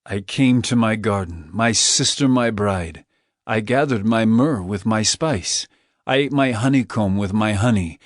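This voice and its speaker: An actor playing an adoring royal bridegroom in a dramatized scripture reading, with a measured, tender and lyrical delivery. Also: male voice, lowish voice, dry recording, clean studio sound, natural voice. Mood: satisfied, tender.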